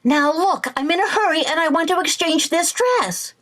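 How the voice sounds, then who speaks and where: Woman playing an excitable, high-voiced radio comedy housewife. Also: moderate pace. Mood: hurried. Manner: theatrical, exclaiming, expressive. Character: flustered, scheming, excitable housewife. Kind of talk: old-time radio comedy acting.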